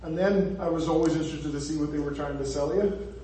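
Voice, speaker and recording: A man's voice: fairly high pitch, fast, warm tone, a little hiss or hum. A man from Northern Ireland with a Northern Irish accent, giving a conversational sermon with jokes and asides to the congregation. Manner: chatty and joking, with asides to the congregation. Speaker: preacher. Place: church hall. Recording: echoey hall, far from the mic.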